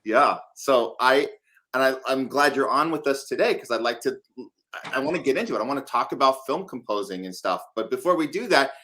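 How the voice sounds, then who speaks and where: A man's voice: medium pitch, animated, brisk, bright, forceful tone, slight background noise. Conversational male interview host.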